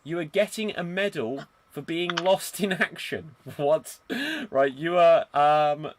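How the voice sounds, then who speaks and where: A man's voice: a little high, slow pace, a little hiss or hum. A man hosting a live-streamed improv game over a video call, bubbly and teasing, and often laughing. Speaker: improv show host.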